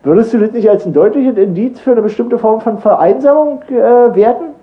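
A man's voice: very high voice, brisk, phone-quality sound. A man hosting improvised casual radio chat, rambling and teasing in a mock-earnest way. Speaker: radio talk host.

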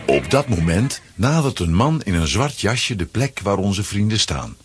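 A man's voice: lowish voice, sing-song, unhurried, a crowd in the background. A man narrating a scripted children's audio play as its storyteller. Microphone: close, dry studio microphone.

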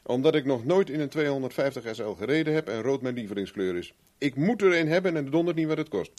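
A man's voice: medium pitch, expressive, quick pace, a little mellow, natural voice. Actor as wry, stubborn male private investigator. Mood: determined. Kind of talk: 1970s Dutch radio play acting.

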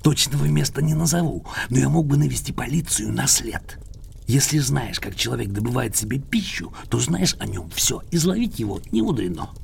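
An actor in an audio drama playing a cranky, boastful, litigious old English gentleman, emphatic, pompous and theatrical, with a creaky, husky voice. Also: medium pitch, animated, normal speed, full character voice. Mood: sly. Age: older.

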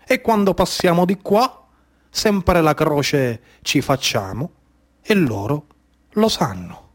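A man with a Sicilian accent performing a theatrical storytelling monologue in a rhythmic, incantatory manner, with repeated phrases and dramatic pauses. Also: a little high, sing-song. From Sicily, Trapani area. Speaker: actor and storyteller.

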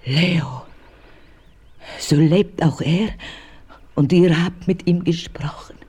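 A grim, whispering avenger. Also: male voice, fairly high pitch, takes it slowly, a little mellow, breathy edge, exaggerated voice. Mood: hushed. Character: mysterious avenger seeking revenge. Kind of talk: 1970s radio play acting.

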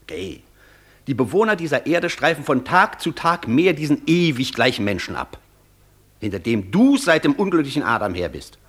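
A husky, impassioned, lecturing professor. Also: male voice, mid-range voice, very animated, normal speed, fairly bright tone. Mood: insistent, mocking. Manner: declamatory, insistent, lecturing. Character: intense, persuasive male professor tempting the Devil. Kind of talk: German radio play acting, long rhetorical speeches.

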